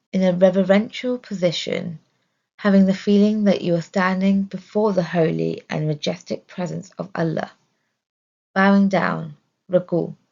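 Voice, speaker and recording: A woman reads a scripted religious study text in a measured, deliberate way. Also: fairly low pitch, vocal fry, dark tone, dull audio. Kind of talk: scripted narration, religious lesson.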